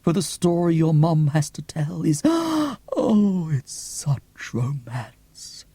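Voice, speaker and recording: A man's voice: a little high, animated, slow, mellow, breathy edge, dry recording, full character voice. A man voicing a theatrical elderly woman storyteller, gushing and affectionate, drawing out words grandly in an audiobook. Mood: breathy, romantic.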